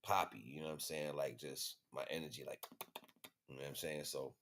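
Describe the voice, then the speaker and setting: Deep-voiced American man rambling casually. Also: fairly even intonation, fairly quick, noisy background. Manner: slangy, repetitive with frequent check-in phrases. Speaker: livestream host. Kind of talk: casual rambling monologue to viewers.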